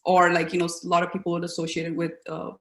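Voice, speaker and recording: A woman's voice: fairly low pitch, monotone, rapid-fire. Upbeat woman in her 30s from the uae, guest on an interview, lots of likes and ums, echoey room. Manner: chatty and upbeat, often trailing off with fillers. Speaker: interview guest, fashion blogger. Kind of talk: casual interview.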